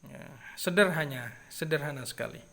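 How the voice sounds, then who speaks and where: A man with a Madurese accent and a clearly nasal voice, talking in an easy, unhurried way to his viewers. His tone is bright and forceful, set a little above the usual male range. He repeats phrases and drops in soft filler sounds as he goes. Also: a little high. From Jember, East Java, Indonesia. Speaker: keris collector. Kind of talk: casual vlog talk to viewers.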